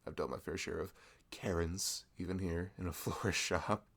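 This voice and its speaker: A man's voice: sits low, fairly even intonation, moderate pace, gravelly, a bit bright. A young man doing a chatty audio roleplay as a friendly shopkeeper, laughing often between lines. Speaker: ASMR roleplay creator.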